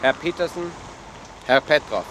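An actor playing a plain, courteous colonist delegate, terse and matter-of-fact, in a 1950s German radio drama. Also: male voice, a little high, animated, measured pace, fairly bright tone. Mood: sober, matter-of-fact. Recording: roomy old radio play, faint noise.

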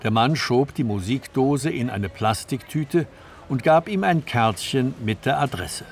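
A man narrating a radio drama from a script in a measured, descriptive manner. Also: mid-range voice, animated.

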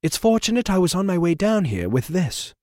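A man's voice: sits high, sing-song, brisk, darkish tone, no echo, studio quality, pitched above the performer's own voice. A man voicing a haughty ship owner with a pompous, clipped, scolding manner.

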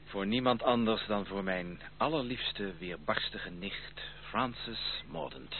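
An actor playing a persistent, affectionate suitor in a period radio drama, coaxing and earnest. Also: male voice, fairly low pitch, slow. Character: persistent, affectionate male cousin courting a stubborn woman. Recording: muffled old radio play, faint hiss.

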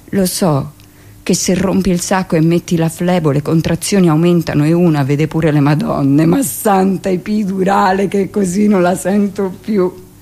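A woman playing a middle-aged midwife in a theatrical radio monologue, telling her story in an emphatic, colloquial way, brisk and self-justifying. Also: mid-range voice, a little mellow, close to an everyday voice. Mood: brisk, patronizing.

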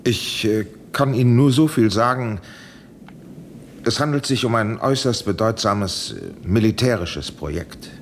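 An actor playing an anxious, evasive professor in a 1970s German radio play, hesitant and hedging, with an urgent pleading manner. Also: male voice, on the low side, unhurried, a little hiss or hum. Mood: hesitant, explaining. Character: evasive, anxious male professor guarding a secret.

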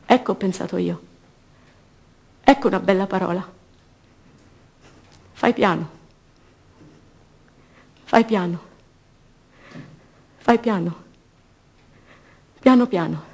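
An actress playing a young, idealistic student activist held prisoner, delivering an intense, passionate theatrical monologue for radio drama. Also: female voice, medium pitch, creaky. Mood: hushed, tender. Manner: intense, swinging from hushed repetition to fervent declaiming.

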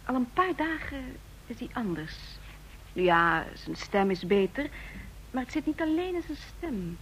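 A woman's voice: on the high side, very animated, unhurried, a bit bright. Thoughtful, cautious woman, slight noise. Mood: concerned, uneasy. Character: thoughtful, intuitive, cautious female office colleague. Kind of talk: Dutch radio play acting. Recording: noisy old radio play recording.

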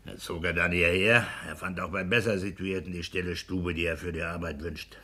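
A blustering petty-bourgeois husband in a 1960s German radio play. His voice is lowish, forceful and rough-edged. He speaks with pedantic, domineering emphasis, like a bullying clerk who rules his household. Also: fairly even intonation, fairly quick, heavily acted.

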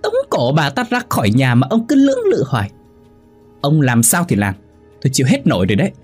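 A man's voice: mid-range voice, very animated, brisk, darkish tone, slightly rough, soft background music, voice pushed up. A man voicing a spiteful, domineering middle-aged wife in a narrated story, shrieking and scornful. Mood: shrieking anger.

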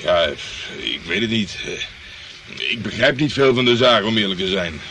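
Animated, indignant hapless detective. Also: male voice, lowish voice, normal speed, a little hiss or hum. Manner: exasperated, pleading, protesting. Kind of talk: radio drama acting.

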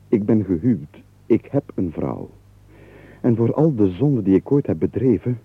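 Husky, melodramatic Flemish nobleman. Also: mid-range voice, no echo. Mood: bitter, aggrieved. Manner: melodramatic, explosive outbursts dropping to confiding. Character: exasperated rich nobleman, driven frantic by chatter. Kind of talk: 1980s Flemish radio comedy acting. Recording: muffled, phone-like old radio recording.

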